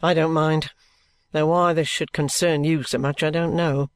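Man as a grave, wary old-school trooper, lightish pitch. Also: flat delivery, normal speed, smooth, no echo, clean studio sound, lightly acted. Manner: grave, pondering, guarded. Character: stiff, grave, wary male ex-soldier trooper.

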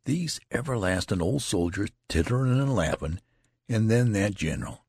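An indignant young soldier acted by a man narrating a story grumbles and brags in rough country grammar. The voice is pushed higher, lively in pitch and a bit husky. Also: normal speed, dry recording, clean studio sound, exaggerated voice. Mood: boastful, indignant. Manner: grumbling, rustic ungrammatical talk. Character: aggrieved young male soldier, indignant and boastful.

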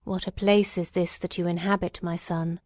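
A woman's voice: medium pitch, steady tone, a little slow, no echo, lightly acted. Woman reading as a subdued, mournful father. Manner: subdued, grave. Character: mournful, tender older father.

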